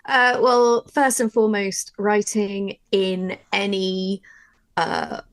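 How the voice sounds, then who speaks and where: A woman's voice: medium pitch, fairly even intonation, slow pace, no room sound. A woman writer chatting informally and encouragingly in a conversational workshop discussion about her craft. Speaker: audio drama writer giving a workshop.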